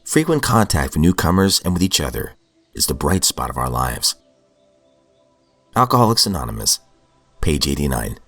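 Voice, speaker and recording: A man with a very low voice reads recovery texts and then reflects on them in an earnest, unhurried way. He sounds a little sniffly from a cold. Faint music plays beneath him. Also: sing-song, a bit bright, no echo. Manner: earnest, reflective, with fillers and restarts. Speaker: podcast host reading daily recovery texts. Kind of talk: reading aloud then casual reflection.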